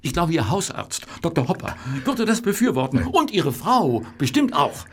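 An actor playing a worried, principled old pharmacist in a German radio drama, earnest and admonishing. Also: male voice, fairly high pitch, sing-song, normal speed, slight background noise. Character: worried, principled old English pharmacist, long-time family acquaintance.

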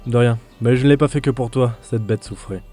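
A man playing a reserved, helpful ranger in a fantasy audio drama, with a calm, instructive delivery. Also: medium pitch, fairly quick, soft background music, natural voice. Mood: apologetic, reserved. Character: male ranger player in a fantasy game world, gruff but helpful.

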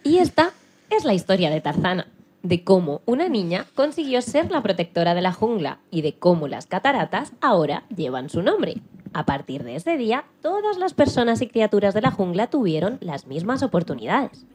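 A woman from narrating a dramatised children's story in an expressive, playful way. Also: mid-range voice, normal speed, husky. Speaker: storyteller, programme presenter.

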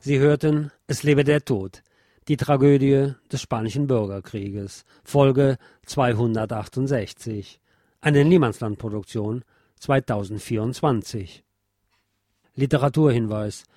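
A man reading a nonfiction text aloud as a podcast host, in a formal, measured, factual manner. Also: medium pitch, warm tone, no echo, studio quality.

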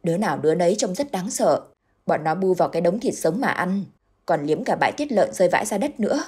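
A woman voicing a gruff, worried village husband who snaps and then speaks gravely. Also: lively intonation, quick pace, a little mellow. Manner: curt, barking, then grave and low.

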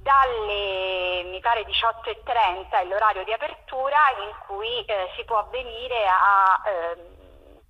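A woman's voice: mid-range voice, slow, bright, forceful tone, husky, rough recording. A woman explains things informally as a radio interview guest, searching for her words as she talks over the telephone. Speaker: interview guest, theatre project organiser.

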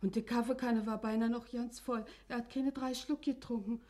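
An actress playing a plain-spoken, grumbling housemaid in a radio play, forthright and colloquial. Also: female voice, on the high side, steady tone, normal speed, darkish tone, close to an everyday voice. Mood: defensive, chatty. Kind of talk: German radio play acting.

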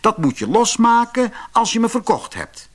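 Man reading as an eager, lively young son. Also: very high-pitched, moderate pace, pitched above the performer's own voice, heavily acted. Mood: instructing. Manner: instructing, sure of himself. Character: eager, confident young son who does magic. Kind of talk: storybook character voice.

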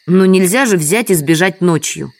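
A woman's voice: on the low side, normal speed, dry recording. An actress playing a dutiful, stubborn village woman in an audio drama, plain and insistent in manner. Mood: resigned, firm.

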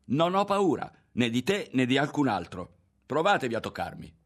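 A man's voice: sits low, sing-song, fairly quick, vocal fry, fairly bright tone, slightly rough, exaggerated voice. A man voicing a proud, hot-tempered young boy who protests insistently in an audiobook story. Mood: defiant shouting.

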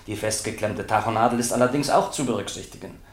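A man acting a police expert witness who testifies in deliberate, factual officialese in a radio drama. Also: lowish voice, lightly acted. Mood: dry, factual. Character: dry, precise male police expert witness. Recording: roomy, echoing radio drama studio.

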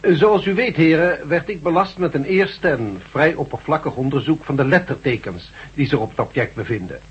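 A man's voice: fairly high pitch, lively intonation, breathy edge. An actor playing a cautious professor who weighs his words carefully in a radio drama. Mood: thoughtful. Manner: measured, hedging. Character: Professor Van Kleef, cautious scholar of scripts. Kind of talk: 1970s radio drama acting. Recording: dull lo-fi radio recording.

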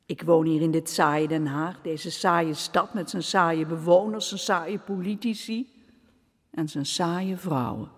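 A woman's voice: on the low side, a little slow, slightly rough, long reverb tail. An actress playing a wry, bored lady of the capital in a radio drama. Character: bored, wry upper-class city lady.

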